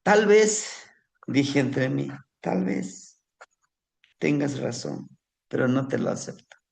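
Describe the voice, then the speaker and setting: A man from Mexico, a native Nahuatl speaker with a Mexican Spanish accent, telling a personal story to a class in a slow, reflective way. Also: mid-range voice, expressive, vocal fry. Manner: slow, reflective, warmly addresses listeners as family.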